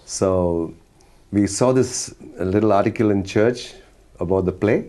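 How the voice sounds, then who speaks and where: A man's voice: on the low side, unhurried, warm tone. A middle-aged man from India with an Indian accent, a chatty interview guest who laughs often as he tells his story. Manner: chatty, laughs often while telling stories.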